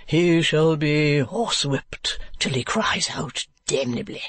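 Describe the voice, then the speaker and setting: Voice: mid-range voice, slow, fairly bright tone, dry recording. A reader doing a drawling, foppish dandy husband. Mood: affected, indignant. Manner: drawling, melodramatic, poetical. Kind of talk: classic novel audiobook character.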